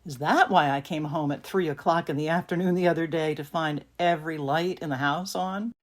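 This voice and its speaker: No-nonsense mother, woman actor, slightly low voice, family dialogue in an audio drama. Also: fairly even intonation, fairly quick. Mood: practical. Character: practical, no-nonsense mother out with her children.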